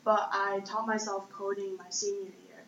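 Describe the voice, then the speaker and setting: A woman's voice: medium pitch, little pitch movement, moderate pace, a bit bright. A woman in her twenties with a Chinese accent, answering interview questions conversationally as a startup founder. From Shanghai, China. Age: 20s. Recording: echoey room, dull sound, faint noise.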